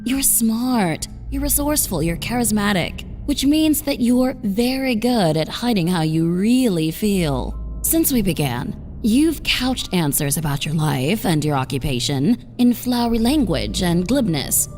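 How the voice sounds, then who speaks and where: A woman playing a blunt, composed therapist in a comic dub, speaking in a measured, probing and direct way. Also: medium pitch, sing-song, a little mellow. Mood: calm, probing. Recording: music bed behind the voice.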